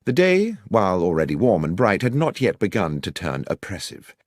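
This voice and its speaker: A man's voice: sits low, huge pitch swings, normal speed, no room sound, studio quality. A British man reading a first-person story as scripted audiobook narration.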